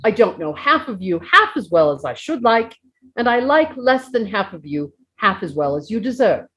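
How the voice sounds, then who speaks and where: A woman voicing an elderly male hobbit making a jovial, deliberate birthday speech in a storybook reading. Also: mid-range voice, very animated, a bit bright, poor audio. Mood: ceremonious, measured. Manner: oratorical, deliberate.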